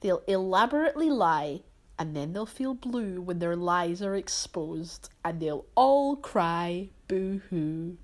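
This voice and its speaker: A woman's voice: fairly low pitch, expressive, takes it slowly. A woman voicing a spiteful, scheming male storybook grouch who plots in a gloating, dramatic manner.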